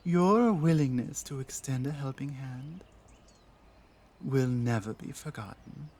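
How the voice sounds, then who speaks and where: A woman voicing an earnest, honor-bound female orc in an ASMR roleplay, formal yet halting in manner. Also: very deep voice, warm tone, full character voice. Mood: surprised, moved.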